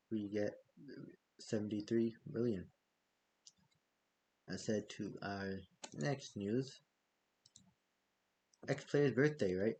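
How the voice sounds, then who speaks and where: Mellow-voiced man hosting a casual vlog. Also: lowish voice, husky. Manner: chatty, loose, with frequent fillers and self-corrections. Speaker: football fan channel host.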